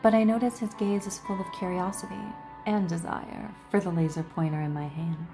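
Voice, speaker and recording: A woman hosting a gaming video, reading game dialogue aloud and adding salty, expressive commentary. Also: fairly low pitch, brisk. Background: game music.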